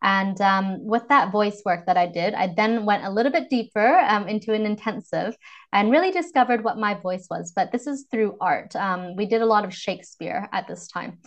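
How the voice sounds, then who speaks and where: Woman, Canadian accent, voice coach, articulate, measured, mid pitch, podcast guest. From Vancouver, Canada. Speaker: voice and communications coach. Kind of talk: podcast interview.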